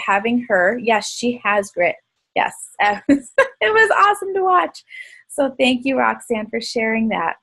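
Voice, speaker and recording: A woman's voice: medium pitch, a bit bright. A woman hosting a team video call, chatting in an upbeat way and praising members. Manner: upbeat, praising, quick asides. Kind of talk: encouraging team call chat.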